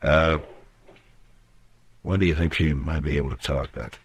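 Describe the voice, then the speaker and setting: Very deep-voiced Old West lawman. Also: moderate pace, slight background noise. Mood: concerned. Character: steady, grave, plainspoken Old West lawman. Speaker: radio drama actor. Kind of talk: old-time radio western acting. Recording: old radio drama recording.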